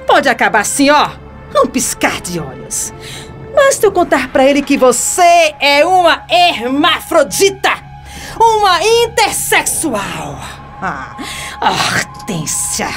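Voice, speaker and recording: An actress playing a scheming, domineering woman in a Brazilian radio soap opera, mocking and theatrical. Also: fairly high pitch. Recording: music bed under the voice.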